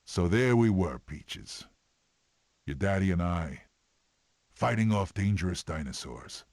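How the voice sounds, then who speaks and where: An actor playing a gruff, sardonic male animal in an animated film, telling stories with dry sarcasm. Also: low voice, unhurried. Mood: wry.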